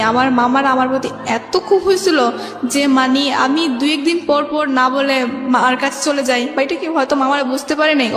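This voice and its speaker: High-voiced young woman in echoey studio sound. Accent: Bangladeshi. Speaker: guest telling her life story. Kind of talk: personal storytelling in a radio interview. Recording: echoey reverb, faint music behind. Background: faint music.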